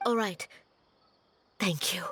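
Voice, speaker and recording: A woman's voice: medium pitch, takes it slowly, fairly bright tone, slight background noise. A woman playing an anxious, pleading village mother in a video game. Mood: grateful.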